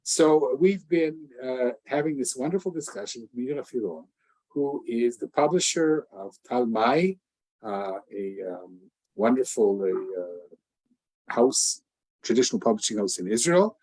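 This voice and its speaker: A man's voice: medium pitch, animated, measured pace, darkish tone, poor audio. An older man interviewing a guest in a casual, effusive way, stumbling through many restarts. English is his first language.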